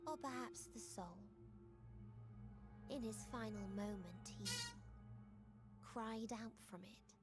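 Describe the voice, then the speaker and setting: An actress playing a cold, sorrowful otherworldly female being in a video game, speaking in a solemn, measured, detached way. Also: a little high. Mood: cold, grave. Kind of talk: dramatic scripted game voice acting. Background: loud music.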